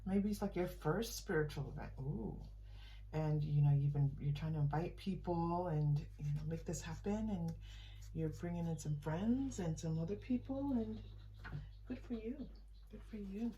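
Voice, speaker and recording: A woman giving an improvised spiritual tarot reading straight to the viewer, in a halting, conversational way that often seeks agreement. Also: lowish voice, mellow, far from the mic, slight background noise, low-quality recording. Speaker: tarot reader and channel host.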